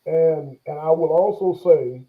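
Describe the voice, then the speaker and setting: A man's voice: on the high side, unhurried. Man in his forties, tinny phone-line sound. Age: 40s. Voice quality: occasional stammer. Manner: reflective, hesitant, many fillers and restarts. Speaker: pastor and interview guest. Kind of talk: conversational interview.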